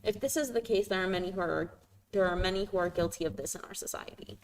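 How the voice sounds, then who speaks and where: Lady co-host reading questions from the chat, informal, bit hesitant, average pitch. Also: fast, poor audio. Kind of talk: casual live Q&A chat.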